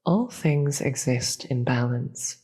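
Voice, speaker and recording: Slow, breathy demon queen, acted out. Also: mid-range voice, animated, dark tone, full character voice. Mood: solemn, bitter. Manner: deliberate, theatrical, grandiose speech with dramatic pauses. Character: proud, imperious demon queen, female, contemptuous of gods. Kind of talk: scripted fantasy audio roleplay monologue.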